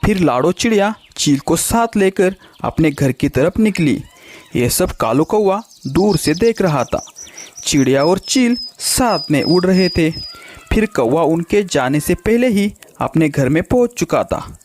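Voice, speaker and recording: A man's voice: high-pitched, lively intonation, measured pace, a little mellow, slight background noise. A man narrating a children's bird story in a scripted storytelling style.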